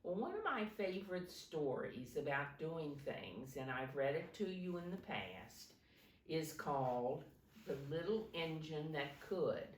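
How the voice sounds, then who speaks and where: A woman reading a picture book aloud to young children like a teacher, in simple deliberate phrases, pausing to point things out. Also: deep voice, big pitch swings. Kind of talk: animated children's storybook read-aloud. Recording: roomy echo, distant microphone.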